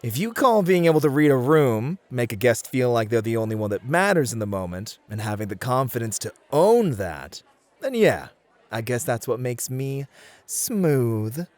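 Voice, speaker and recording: A man acting in an ASMR roleplay as a smooth, confident, flirty club host who reassures and charms. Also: mid-range voice, very animated, moderate pace, darkish tone, no echo, close to an everyday voice. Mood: reassuring, suave.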